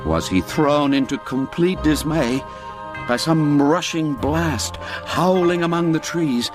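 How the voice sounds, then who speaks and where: A man narrating a classic radio drama, telling it expressively and rising and falling with the suspense. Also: mid-range voice.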